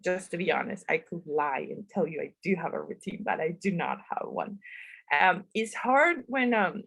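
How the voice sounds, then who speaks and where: A woman's voice: fairly low pitch, animated, normal speed, vocal fry, fairly bright tone, husky, dry recording. A woman from northern Mexico with a Mexican accent, a writer answering interview questions in a chatty, self-deprecating way and laughing often. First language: Spanish. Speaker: guest writer.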